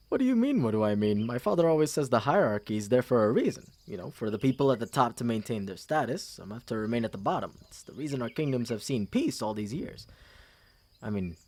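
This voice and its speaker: A man playing a smug, condescending young prince with a pompous, smooth manner in an ASMR fantasy audio roleplay. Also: mid-range voice, brisk, no echo. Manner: smooth, pompous, theatrically expressive.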